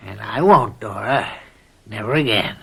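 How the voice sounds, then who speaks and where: Leering older uncle, folksy radio-play bully, forceful bright tone, slow delivery. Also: male voice, fairly low pitch, animated, vocal fry, husky, heavily acted. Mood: leering, sly. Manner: folksy, mock-friendly needling drawl. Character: leering, domineering older uncle, farm boss. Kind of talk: radio drama acting.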